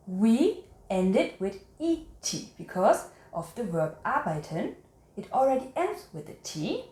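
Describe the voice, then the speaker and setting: Slow, lively-pitched woman teacher, echoey room. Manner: instructive, repeats and enunciates example sentences slowly. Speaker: language teacher and channel host. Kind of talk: quiz lesson, addressing viewers, reading example sentences.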